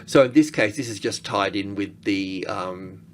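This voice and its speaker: A man giving casual, unscripted screen-share commentary as an analyst, halting and thinking aloud as he goes. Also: fairly low pitch, slight background noise. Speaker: market analyst presenting.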